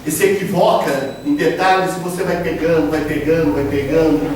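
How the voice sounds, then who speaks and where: A man's voice: a little high, flat delivery, normal speed, a little mellow. A man giving an earnest religious lecture to a live audience, explaining and repeating phrases for emphasis. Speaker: speaker giving a public spiritist lecture. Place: lecture room at a spiritist house. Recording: very echoey hall, distant mic, background noise.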